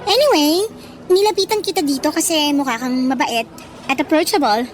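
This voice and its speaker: Woman playing a very high, sassy college party girl. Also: a little hiss or hum, close to an everyday voice. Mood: breezy, friendly. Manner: sassy, slangy, cajoling, drawn-out words. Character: pushy, vain, party-loving female college student. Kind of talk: radio drama acting.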